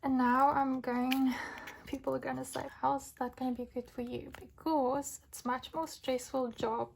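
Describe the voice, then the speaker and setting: A young adult woman from South Africa, husky as she recovers from illness, chatting casually and rambling with fillers while crafting at home. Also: sits high, flat delivery, a little slow. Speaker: diamond painting vlogger. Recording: home recording with craft crinkling noise. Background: crinkling packets and clicks.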